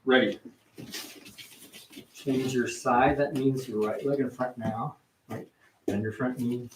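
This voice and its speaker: A man with a low baritone voice, teaching a kids karate class as guest instructor, calling out counts and correcting form. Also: fairly even intonation. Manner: slow, counts drills aloud and corrects form. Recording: echoey hall, far from mic, noisy.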